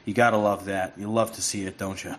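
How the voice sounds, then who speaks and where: Male podcast guest, lowish voice, halting and full of restarts, remote call line. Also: little pitch movement, a bit bright. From United States. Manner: halting, with frequent restarts and fillers. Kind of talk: casual podcast chat. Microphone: remote call audio that cuts in and out.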